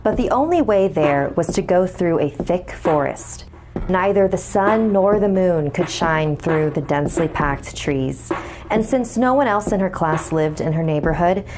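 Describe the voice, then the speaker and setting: A woman's voice: fairly low pitch, background noise. A woman reading a scripted horror story as its narrator, with music behind her.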